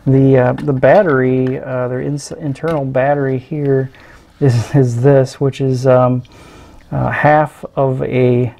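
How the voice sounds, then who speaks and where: Male, middle-aged, mellow voice with fry, halting casual delivery for hands-on hobbyist demonstration. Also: medium pitch, level tone. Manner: hesitant, halting, unscripted delivery. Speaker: electronics hobbyist presenter. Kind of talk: casual hands-on teardown commentary. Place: indoors at a tabletop.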